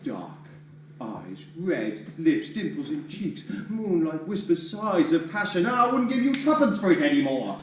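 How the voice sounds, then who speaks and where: An actor playing a rude, blustering debt collector who shouts, rants and mocks, in a stage play. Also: male voice, fairly high pitch, sing-song, measured pace, a little hiss or hum. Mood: ranting. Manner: shouting, sarcastic, ranting. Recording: echoey hall, distant mic.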